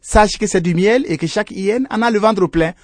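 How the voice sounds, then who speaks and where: A man's voice: moderate pace, no room sound, lightly acted. A man voicing a meek but clever female sheep, a devoted wife in a folktale, with a deferential manner. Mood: artful, explaining. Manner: high, deferential.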